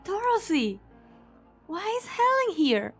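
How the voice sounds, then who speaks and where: A very high, swooping woman acting as a distraught young woman. She is emotional and accusing, in game dub style. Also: sing-song, takes it slowly, soft background music, full character voice.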